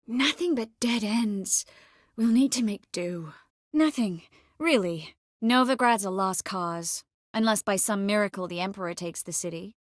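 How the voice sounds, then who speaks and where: Game sorceress, woman actor: poised, warm-hearted, composed, wry teasing edge; mid-pitched, very dry studio sound. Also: expressive, unhurried, dry recording, natural voice. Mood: weary, frustrated. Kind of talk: scripted game character dialogue.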